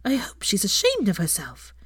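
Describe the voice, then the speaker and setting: A woman voicing scandalized, judgmental churchgoing busybodies, gossiping in a hushed, disapproving way. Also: medium pitch, wildly swooping pitch, moderate pace, slightly rough, no room sound, studio quality, voice pushed up, heavily acted.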